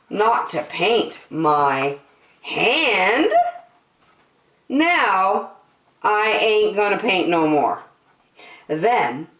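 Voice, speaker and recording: A woman hosting a children's story time, reading a picture book in an animated, sing-song way. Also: mid-range voice. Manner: slow, animated delivery addressed to young children. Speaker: children's storytime host and reader. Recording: roomy echo, distant microphone.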